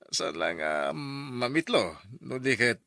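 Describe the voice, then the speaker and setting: A man's voice: mid-range voice, very animated, slow pace, creaky, bright, forceful tone, dry recording, heavily acted. A man acting a flirtatious married brother-in-law in a radio drama, coaxing and hesitant with murmured hums.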